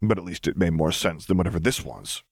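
Low-voiced man reading a story. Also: fast talker, gravelly, husky, no echo, studio quality. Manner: steady, even narration. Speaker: audiobook reader. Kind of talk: reading a fan novel aloud.

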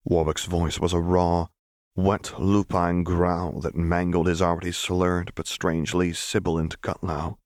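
A man's voice: low voice, little pitch movement, a little slow, darkish tone, no room sound, clean studio sound. A man reading scripted fiction as an audiobook narrator, in a dramatic storytelling style.

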